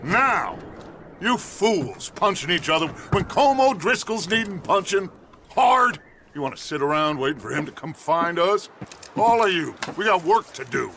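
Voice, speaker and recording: An actor playing a commanding middle-aged American outlaw gang leader in a video game, theatrical and oratorical, bent on vengeance. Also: male voice, sits high, sing-song, a little slow, vocal fry, a bit bright, a little hiss or hum, heavily acted. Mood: angry, rallying. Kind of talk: dramatic video game acting.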